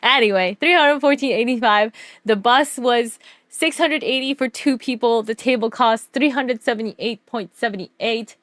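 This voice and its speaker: High-voiced, animated young-sounding woman chatting casually. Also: normal speed, no echo. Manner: animated, giggly, slangy. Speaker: streamer and artist. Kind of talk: casual livestream storytelling over slideshow.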